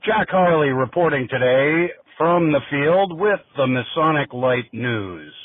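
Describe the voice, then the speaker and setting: A man reading a scripted mock news report in a deadpan, formal reporter style over a tinny line. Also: a little high, measured pace, slightly rough. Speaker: newscaster. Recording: tinny, phone-like narrowband line.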